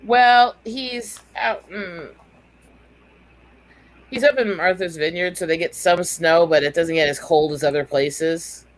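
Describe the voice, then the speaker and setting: Emphatic American woman with a lowish voice. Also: slow pace, low-quality recording. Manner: emphatic, freely swearing. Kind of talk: casual chat.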